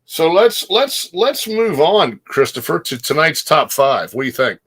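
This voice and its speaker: A man with an American accent and a fairly high, bright, forward voice. He hosts in a casual, conversational style, working through his notes and lists. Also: big pitch swings, measured pace, husky. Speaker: host presenting show listings and top-five lists. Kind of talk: casual chat.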